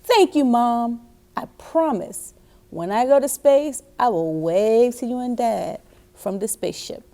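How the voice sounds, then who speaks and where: A woman's voice: on the high side, expressive, unhurried, warm tone, pitched above the performer's own voice. A woman reading a storybook as a hopeful, determined little girl, earnest and emphatic. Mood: grateful, excited. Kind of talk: storybook read-aloud.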